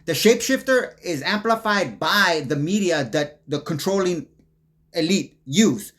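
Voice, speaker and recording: A man's voice: high voice, lively intonation, measured pace, a bit bright. A man hosting a rambling video commentary who talks in a profane, aggressive way. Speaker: commentary host.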